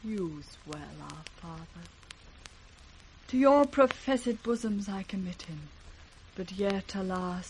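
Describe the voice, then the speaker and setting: An actress playing a sincere, dignified, wronged princess in a 1960s classical radio drama, measured and formal. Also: female voice, on the low side, slight background noise, rough recording.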